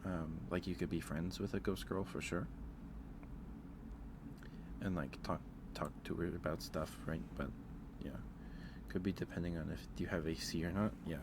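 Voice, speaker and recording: A man with a low voice talks casually, as on an ASMR livestream. He thinks aloud, pausing and hedging his way through his thoughts. Clear ambient noise sits behind him. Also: steady tone. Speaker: streamer.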